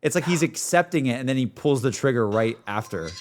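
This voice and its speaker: A man's voice: mid-range voice, animated, fairly quick, a little mellow, slight background noise. A man giving casual, slangy reaction commentary as a streamer, hedging his opinions as he goes. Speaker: streamer reacting to game cutscenes. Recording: music playing behind.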